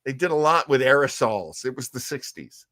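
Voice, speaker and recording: A man with a medium-pitched voice talks as a show co-host in a close, dry recording. He rambles along casually like an enthusiastic fan on a live stream. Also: expressive, moderate pace, fairly bright tone, no room sound. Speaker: co-host of a retro radio show. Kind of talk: casual live-stream chat about old radio serials.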